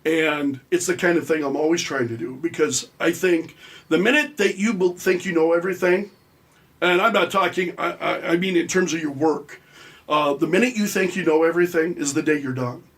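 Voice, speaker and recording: A man's voice: on the high side, moderate pace, a bit bright. A middle-aged man, a radio talk show host, speaking conversationally as an interview guest and explaining his work in a self-deprecating way.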